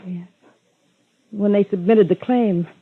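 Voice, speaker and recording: An actress playing a frightened, pleading young secretary in a 1950s radio melodrama, with a breathy, hesitant delivery. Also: female voice, medium pitch, slow pace. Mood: worried. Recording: tinny, roomy vintage radio recording.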